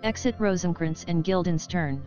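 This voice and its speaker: A woman's voice: fairly low pitch, normal speed, a little mellow. A woman narrator reading stage directions for an audio play. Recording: dull lo-fi sound, music behind.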